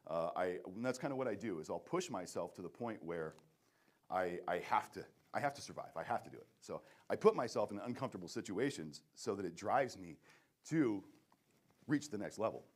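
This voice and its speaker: Man, low voice, print shop owner, halting speech, repeats words. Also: lively intonation. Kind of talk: conference panel answer.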